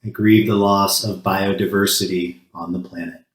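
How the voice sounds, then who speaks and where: Low, even-voiced man leading a solemn ritual. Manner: measured, solemn, pausing between short phrases. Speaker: congregation worship leader. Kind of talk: solemn spoken grief ritual. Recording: roomy, echoing sound.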